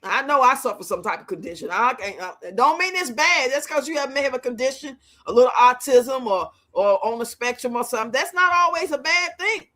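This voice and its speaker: A woman's voice: high voice, animated, a little fast, few pauses, bright, forceful tone. A woman of fifty-nine hosting a casual livestream, chatting with viewers in a blunt, outspoken, colloquial way. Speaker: livestream host.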